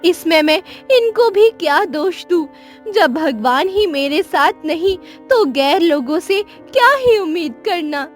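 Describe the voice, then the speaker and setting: A woman's voice: extremely high pitch, expressive, slow, soft background music, heavily acted. A woman voicing a sorrowful, devout, childless queen in a plaintive, earnest, formal way for a cartoon story. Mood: hurt, resigned. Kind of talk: melodramatic Hindi cartoon story acting.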